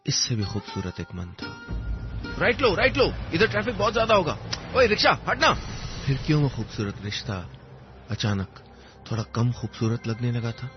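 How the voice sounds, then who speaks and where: A man narrating a first-person story in a wistful, reflective manner, with poetic phrasing and pauses. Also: fairly low pitch. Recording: dull lo-fi audio, music underneath. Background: music.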